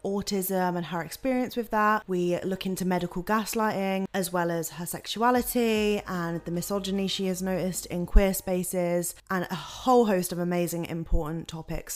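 A woman hosting a podcast, chatting in an encouraging way with lots of fillers. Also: fairly low pitch. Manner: warm, encouraging, filler-heavy. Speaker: podcast host. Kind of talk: casual podcast interview.

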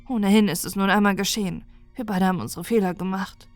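A woman's voice: fairly even intonation, fast talker, no room sound, soft background music, lightly acted. A woman reading an audiobook, voicing a companion in a raised, insistent, reasoning tone. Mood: earnest. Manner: raised pitch, insistent and lecturing.

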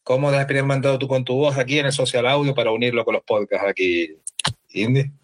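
A man moderating a casual social audio chat, with a relaxed, announcer-like way of speaking. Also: medium pitch, quick pace. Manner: easygoing, animated, radio-announcer-like delivery.

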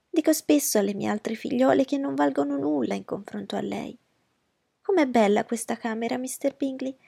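A woman doing a shrill, gushing mother. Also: creaky, warm tone, breathy edge, no room sound, clean studio sound, heavily acted. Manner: shrill and effusive. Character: gushing, fussy, boastful middle-aged mother.

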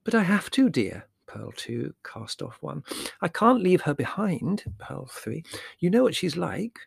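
A man's voice: a little high, very animated, measured pace, creaky, slightly rough, no echo, pitched above the performer's own voice, heavily acted. A man voicing a fussy, irritable middle-aged mother in a storybook, explaining patiently and then snapping. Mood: earnest, insistent, then muttered counting.

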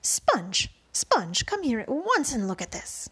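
A woman voicing a harsh, bossy, tall and bony aunt in a storybook reading, shrill and barking orders. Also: wildly swooping pitch, normal speed, slightly rough, full character voice. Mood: excited shouting.

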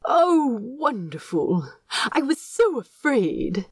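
Woman voicing a prim, gentle male angel, high-pitched. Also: very animated, takes it slowly, slightly rough, no room sound, exaggerated voice. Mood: anxious, relieved. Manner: soft, fussy, earnest.

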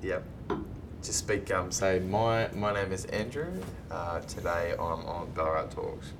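A man with an Australian accent hosting a casual chat in a laid-back, colloquial way. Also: measured pace. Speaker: podcast host. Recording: noisy recording with low rumble.

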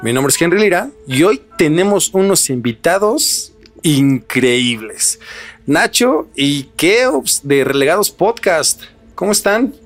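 A man's voice: a little high, very animated, takes it slowly, faint music behind. A man hosting a podcast, moving between prepared introductions and casual chat. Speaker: podcast host.

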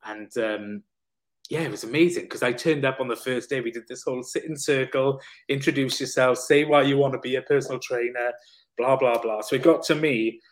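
A man from South Wales, a personal trainer and coach, telling his life story candidly as a podcast guest, with lively swearing. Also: mid-range voice, brisk.

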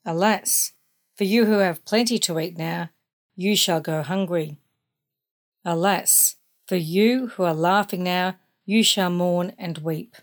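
A woman reading scripture aloud solemnly, with measured, formal phrasing. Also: fairly low pitch. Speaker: scripture reader.

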